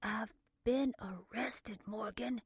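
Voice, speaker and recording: A woman narrator voicing a stubborn, combative younger woman under arrest, arguing forcefully in an audiobook. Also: mid-range voice, expressive, measured pace, no room sound, studio quality. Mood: strained, hushed. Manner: forceful, argumentative, emphatic delivery.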